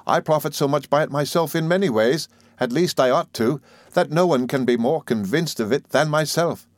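A man voicing a timid, gentle, anxious young mother in a Victorian storybook, deferential, apologetic and tentative. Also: a little high, moderate pace, no room sound, pitched above the performer's own voice, close to an everyday voice. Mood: deferential, placating. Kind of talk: audiobook character in a Victorian novel.

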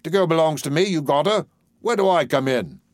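A man voicing an elderly working-class dustman in a play reading, expressive, rhetorical and wheedling. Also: on the high side, a little fast, no echo, clean studio sound, voice pushed up, lightly acted. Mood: wounded, pleading. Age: older.

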